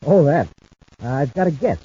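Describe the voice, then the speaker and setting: An actor playing a nervous, hesitant criminal fugitive in an old-time radio adventure. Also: male voice, fairly high pitch, animated, normal speed, dark tone, slightly rough. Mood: wary, boastful. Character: jumpy male criminal fugitive in hiding, nervous, vengeful. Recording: muffled, noisy old radio recording.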